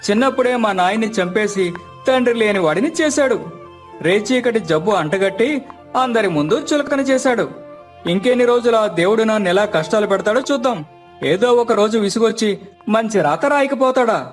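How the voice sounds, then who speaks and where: నటుడు పోషించిన బాగా హెచ్చు గొంతు పల్లె యువకుడు. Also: male voice, high-pitched, expressive, normal speed, soft background music. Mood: bitter, hopeful. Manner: philosophical, rustic colloquial speech. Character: easygoing fatalistic young village bachelor son. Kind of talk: dramatized moral story.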